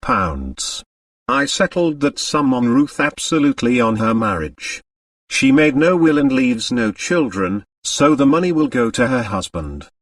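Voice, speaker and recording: A man voicing a rich, blunt American father in an audiobook, bitter and emphatic in a gruff manner. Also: medium pitch, sing-song, unhurried, no room sound, distant mic. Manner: gruff, emphatic, plain-spoken.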